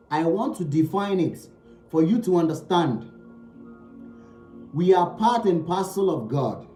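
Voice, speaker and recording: A man's voice: a little high, dark tone, echoey room, low-quality recording. A man giving a spiritual teaching talk. He speaks emphatically, repeating key phrases and asking rhetorical questions.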